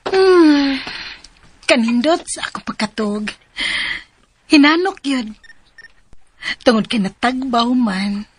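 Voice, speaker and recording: A woman's voice: sits high, takes it slowly, pauses often, a little hiss or hum. An actress playing a lovestruck, coquettish young woman in a radio drama, speaking languidly with a Cebuano accent. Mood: dreamy, satisfied.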